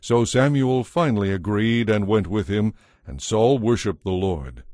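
Low-voiced male Bible narrator. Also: lively intonation, a little slow, darkish tone, no room sound, clean studio sound. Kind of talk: scripted dramatic Bible narration.

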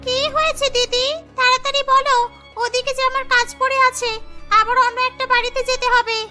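A woman's voice: extremely high pitch, level tone, bright, forceful tone, soft background music, pitched above the performer's own voice, heavily acted. A woman voicing a busy housemaid who is impatient and in a hurry to get to her next job. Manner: hurried, pushy.

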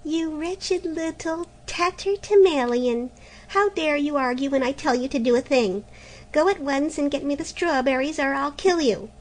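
A woman voicing a spoiled, bullying older sister who sulks and threatens in a raised, high voice. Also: lively intonation, measured pace, warm tone, low-quality recording. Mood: commanding. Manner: sulky, shrill, threatening.

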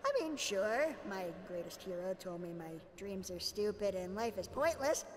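A woman's voice: medium pitch, expressive, moderate pace, a little hiss or hum, natural voice. A woman voicing an earnest, naive teenage boy fan in a comedic anime parody, chatty and easily flustered. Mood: chipper, dry.

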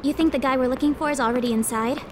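A green young policewoman from an audio drama, played by a woman. Her very high, girlish voice is excitable and nervous, over faint ambient noise. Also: very fast, natural voice.

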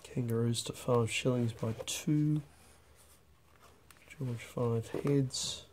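A man talking through a stamp auction lot in a dry, unhurried way, with wry asides, recorded over a desk. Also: mid-range voice, steady tone, darkish tone, a little hiss or hum. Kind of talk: off-the-cuff lot walkthrough. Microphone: overhead camera on a desk.

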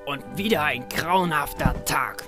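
A man's voice: a little high, measured pace, reverberant, recorded from a distance, over music, close to an everyday voice. A man voicing a grouchy old retired pony stallion who is sick of the foals and disbelieving. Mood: grumpy. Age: older. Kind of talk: fan audio drama acting.

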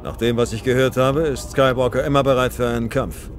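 An actor playing a dutiful clone commander, reporting in a clipped military manner. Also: male voice, medium pitch, steady tone, brisk, faint music behind, natural voice.

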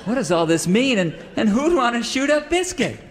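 A man playing a dim, excitable cowboy sidekick, folksy and hesitant, in a comic radio western. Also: very high-pitched, normal speed, big-room sound, heavy background noise. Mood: puzzled.

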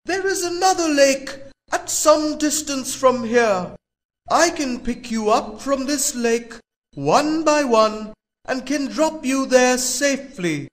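A man voicing a sly old male crane in a children's animated story, theatrical and wheedling. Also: very high voice, slow pace, pitched above the performer's own voice, heavily acted. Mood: sly, reassuring.

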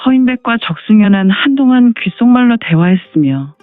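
A high-voiced man reads scripted martial-arts narration. The sound is thin like a phone line, with faint music behind him. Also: animated, brisk, dry recording.

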